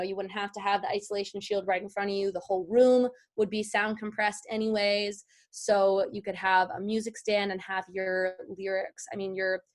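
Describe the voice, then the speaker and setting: A woman voice-over artist teaching a class in a conversational online tutorial, chatty and enthusiastic as she explains. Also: mid-range voice.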